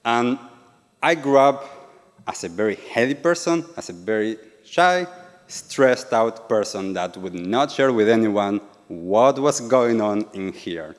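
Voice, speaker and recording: A man's voice: on the low side, expressive, measured pace. Argentine man speaking in a reverberant hall. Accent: Argentine Spanish. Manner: earnest, asks the audience questions. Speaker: yoga and meditation teacher. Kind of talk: live talk to an audience. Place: event venue with an audience.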